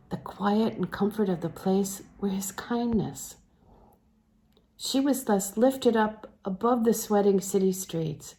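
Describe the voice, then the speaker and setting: A woman with a mellow, dark-toned voice of middling pitch reads literary fiction aloud. Her narration is expressive and shaped like an audiobook, with dramatic phrasing and a tendency to soften toward hushed, breathy delivery. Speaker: audiobook-style reader.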